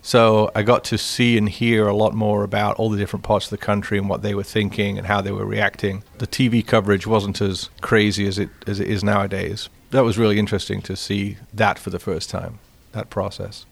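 A man from England with an English accent, telling his own immigration story in a measured, reflective way. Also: lowish voice, flat delivery, creaky. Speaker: interviewee.

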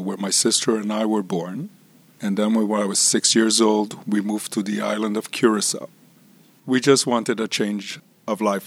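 A man in his sixties from Israel and Curaçao, telling his life story in an interview at an unhurried pace that trails off softly at phrase ends. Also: fairly low pitch. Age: 60s. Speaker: interview guest. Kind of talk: relaxed personal storytelling.

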